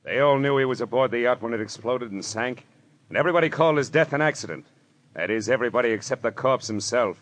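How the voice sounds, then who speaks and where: A man's voice: mid-range voice, fast talker, a bit bright, close to an everyday voice. An American man playing a weary, wisecracking private detective in a dry, sardonic 1940s radio style. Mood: world-weary. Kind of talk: 1940s radio detective acting, first-person narration. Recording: old radio broadcast with music behind.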